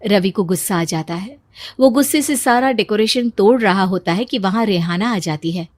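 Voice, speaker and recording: A woman's voice: mid-range voice, moderate pace, dry recording, clean studio sound. A woman narrating a scripted story as a storyteller.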